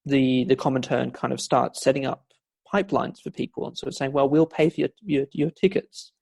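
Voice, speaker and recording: A man's voice: medium pitch, fairly quick, vocal fry, a little mellow, slightly rough, dry recording. A man speaking as a historian guest in a conversational podcast interview, explaining at length with frequent fillers and restarts. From not from Scotland, Scottish family.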